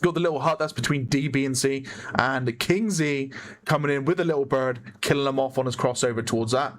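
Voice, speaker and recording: A man with a hoarse voice giving chatty play-by-play commentary on a competitive online shooter match, often correcting himself. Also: medium pitch, fairly quick. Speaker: esports caster. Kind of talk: live game commentary.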